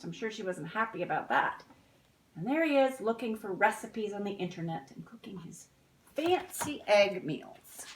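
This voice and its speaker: Expressive woman reading to children, roomy sound. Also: fairly high pitch. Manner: expressive, explanatory, child-directed. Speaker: teacher reading picture books to young children. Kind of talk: read-aloud storytelling with asides to children. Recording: roomy indoor echo.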